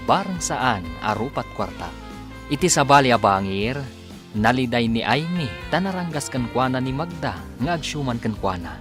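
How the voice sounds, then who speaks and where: A man with an Ilocano Filipino accent narrating a radio serial in a dramatic announcer style. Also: mid-range voice.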